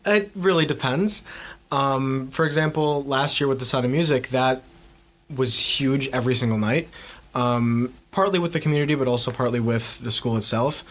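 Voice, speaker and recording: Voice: mid-range voice, normal speed. A teenage student actor answering interview questions hesitantly, with many ums and restarts. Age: teens. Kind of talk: casual interview.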